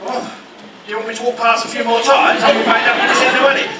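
A man's voice: very high-pitched, level tone, quick pace, over a noisy crowd. A man playing a man-hungry ugly stepsister in pantomime, saucy and self-mocking, with an English accent. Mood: flirty. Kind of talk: pantomime comedy, audience banter. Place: theatre stage in a village hall. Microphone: far camera. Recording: echoey hall from far off, loud noise.

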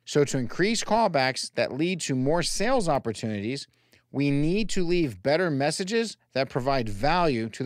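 A man's voice: on the high side, no room sound, clean studio sound. A man presenting scripted sales training as an instructor, addressing the listener directly and emphatically with rhetorical questions. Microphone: close voice-over microphone.

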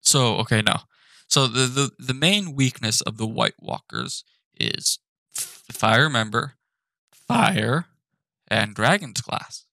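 A man's voice: medium pitch, big pitch swings, takes it slowly, gravelly, no room sound. Profane ranting man, dry close sound. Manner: profane, exasperated ranting with sudden shouting. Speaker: animated channel host. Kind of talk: ranting commentary. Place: apartment.

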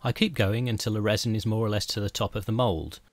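A middle-aged man calmly narrating a scripted woodworking how-to, step by step, as the channel's host. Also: lowish voice, quick pace, no echo. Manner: calm, methodical step-by-step explanation.

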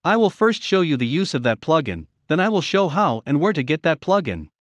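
A man narrating a step-by-step software tutorial in a plain, measured instructional manner. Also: on the high side, very animated, no room sound.

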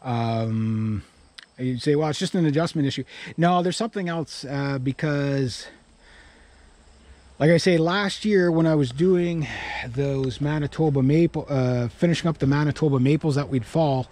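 Higher-voiced Canadian man, rambling casually. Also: normal speed, darkish tone, no echo. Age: middle-aged. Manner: rambling, thinking aloud. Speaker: vlogger. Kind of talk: casual vlog chat. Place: outdoors in a grassy yard by a pickup truck. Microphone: action camera worn on body or held at arm's length.

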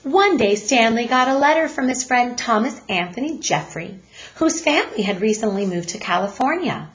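A woman reading a children's story aloud like a teacher, deliberate and expressive. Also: fairly high pitch.

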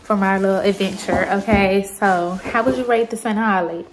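A woman's voice: mid-range voice, normal speed, noisy background. A woman in her thirties talking casually to her viewers, filming herself on a phone at arm's length. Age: 30s. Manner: chatty, addresses viewers as y'all. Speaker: vlogger. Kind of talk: casual vlog talk to camera. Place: outdoors at a fair and around town. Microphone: phone camera at arm's length. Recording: music playing behind the voice.

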